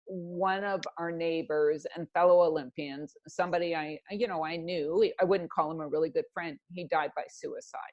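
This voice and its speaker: A middle-aged woman speaks as an interview guest in a reflective, conversational way. Her voice sits a little low. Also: steady tone, moderate pace, hardly any pauses, a little mellow, dull audio. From Canada. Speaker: interview guest, executive coach.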